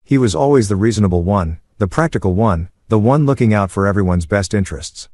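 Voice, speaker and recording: A man narrating a first-person story in a steady, measured way. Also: on the low side, no echo, studio quality.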